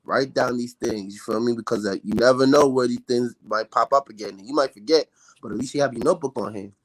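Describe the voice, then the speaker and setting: A man's voice: medium pitch, fast, no room sound, rough recording. An American man, a rapper, talking casually as a podcast guest with slangy speech and frequent check-in tags. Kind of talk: casual interview chat.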